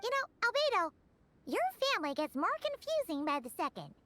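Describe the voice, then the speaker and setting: A woman voicing a small, chatty, curious childlike companion in a video game, squeaky and perky. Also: very animated, a little fast, a bit bright, heavily acted. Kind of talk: video game character acting.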